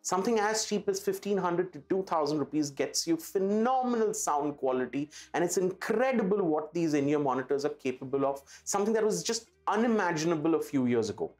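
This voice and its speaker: A man's voice: on the high side, lively intonation, brisk, few pauses, a little mellow. A man with an Indian accent presents a product to camera in an enthusiastic, emphatic way. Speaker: presenter for an audio retailer. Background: background music.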